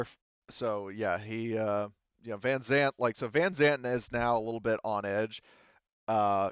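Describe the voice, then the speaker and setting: A man's voice: mid-range voice, clear, resonant tone, dry recording, lo-fi sound. A man running a tabletop game as game master, rambling along with lots of fillers and self-corrections.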